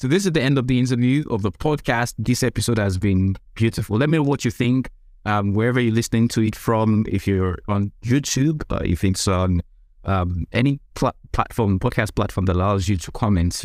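A man with a Nigerian accent hosting a podcast interview, speaking earnestly and haltingly, often repeating words. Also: on the low side, a little mellow, dry recording, clean studio sound.

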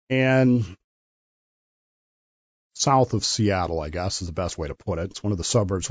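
A man with a mid-pitched voice talks through a story on his own show, conversational and opinionated. He stops to correct himself often. The recording is dry and a little dull. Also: darkish tone, no room sound. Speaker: podcast host. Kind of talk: solo commentary monologue.

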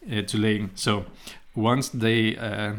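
A man's voice: lowish voice, flat delivery, takes it slowly, a bit bright. A man co-hosting a podcast, telling stories in casual, colloquial dialect. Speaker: podcast co-host. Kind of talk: casual banter and storytelling.

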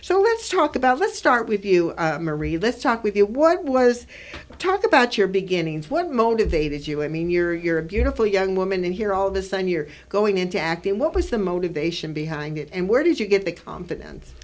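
A woman's voice: medium pitch, very animated, brisk. An older woman hosting a chatty live interview in a radio studio, effusive and given to exclaiming. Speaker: radio show host and interviewer.

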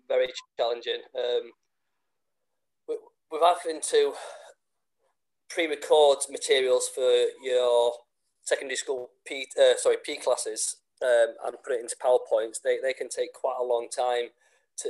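A congested man with a cold and a sore throat, speaking in a flat, level tone. He answers casually and hesitantly, restarting his sentences and filling pauses, with a mid-pitched voice. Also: poor audio. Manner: hesitant, many restarts and fillers. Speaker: PE teacher.

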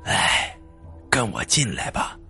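A man voicing a weary, gentle old village man in a spoken story, sighing and pleading anxiously as he guards a secret. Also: mid-range voice, animated, normal speed, faint music behind, lightly acted. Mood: weary, resigned.

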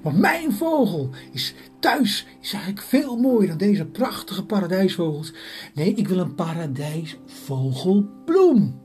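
A woman voicing a lovesick young man in a spoken story, pitched well above her own voice and pleading. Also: very animated, a little slow, dark tone, faint music behind. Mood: insistent.

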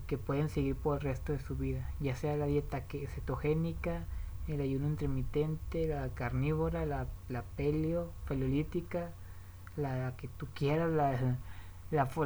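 A woman's voice: low-pitched, fairly even intonation, normal speed, warm tone, slight background noise, poor audio. A woman with a Mexican accent explaining diet habits in a casual, rambling way, often restarting and correcting herself.

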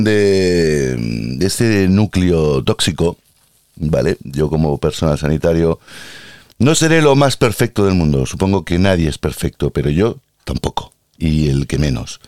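A man's voice: deep voice, dry recording, clean studio sound. A man with an Andalusian accent hosting a casual podcast monologue, ranting in a sarcastic, playfully theatrical way about work as a healthcare worker.

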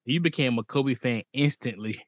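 A man co-hosting a podcast, chiming in quietly and reacting to a story. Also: medium pitch, moderate pace. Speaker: podcast co-host. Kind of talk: casual chat, reacting.

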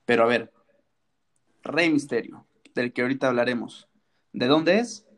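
A man's voice: medium pitch, normal speed, a bit bright. A man co-hosting a casual wrestling chat, playful and teasing, fond of rhetorical questions. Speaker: wrestling podcast co-host.

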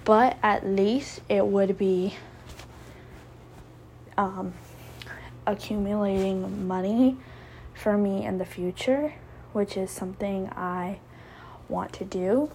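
A young woman in her twenties talks slowly and reflectively in a casual podcast, often restarting her thoughts. Her voice is mellow and soft-edged. A faint hiss sits under the recording. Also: medium pitch, fairly even intonation, poor audio. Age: 20s. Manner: rambling, reflective, many fillers and restarts. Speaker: podcast host. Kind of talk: casual solo podcast talk.

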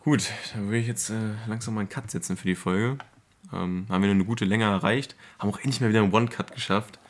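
A man's voice: fairly low pitch, quick pace. A young man hosting a podcast in casual, slangy, colloquial chat among friends. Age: young adult. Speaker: podcast host.

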